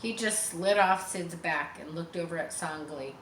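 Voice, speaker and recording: A woman reading a children's story aloud expressively, pausing with chatty explanations for young listeners. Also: fairly low pitch, fairly bright tone. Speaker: storybook reader. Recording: roomy, distant mic, faint background noise.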